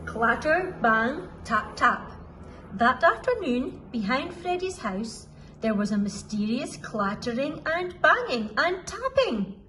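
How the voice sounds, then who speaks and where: Slow, high-voiced woman reading a children's story. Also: very animated, bright, forceful tone, slight background noise, poor audio. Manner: deliberate, dramatic with sound words. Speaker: school storyteller.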